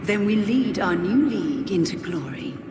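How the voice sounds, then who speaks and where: An actress playing a cold, seductive villain leader in a video game, speaking with slow, imperious menace. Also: female voice, mid-range voice, animated, background noise, natural voice. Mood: triumphant, commanding. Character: commanding female villain, cold, seductive leader of an assassin league. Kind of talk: video game cutscene voice acting. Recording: echoey hall reverb with loud music.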